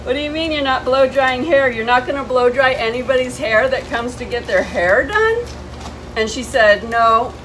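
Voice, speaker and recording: A woman's voice: sits high, lively intonation, normal speed, fairly bright tone. A woman in her fifties chatting casually to camera, telling a personal story in an indignant, emphatic way, recorded outdoors on a porch. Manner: indignant, emphatic, retelling exchanges. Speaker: vlogger. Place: covered porch outside a house. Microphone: camera a few steps away. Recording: clearly noisy outdoor ambience.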